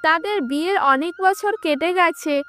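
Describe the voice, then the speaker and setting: A woman narrates a children's fairy tale from a script. Her voice is very high and bright. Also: measured pace, faint music behind.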